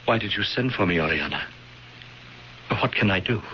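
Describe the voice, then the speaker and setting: An actor playing a stately, scheming priest in a radio drama, measured and courtly as he feigns sorrow. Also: fairly low pitch, lively intonation, close to an everyday voice. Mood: feigned regret. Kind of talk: classic radio drama acting. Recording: dull, noisy old radio broadcast.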